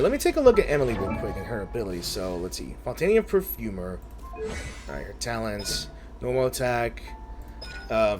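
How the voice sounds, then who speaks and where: A man giving casual, reactive let's play commentary, often muttering to himself as he plays. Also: medium pitch. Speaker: gaming streamer.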